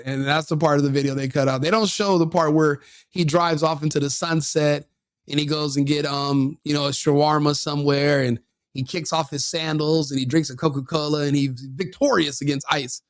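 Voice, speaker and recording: A man with a somewhat high voice sneers and jeers through crude reaction commentary, laughing as he goes. The sound is dry and close, with no room around him. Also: dry recording.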